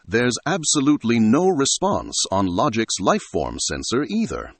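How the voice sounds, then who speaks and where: A man's voice: mid-range voice, wildly swooping pitch, moderate pace, breathy edge, dry recording, heavily acted. A man voicing an alarmed, bewildered stranded explorer in a sci-fi story, exclaiming dramatically.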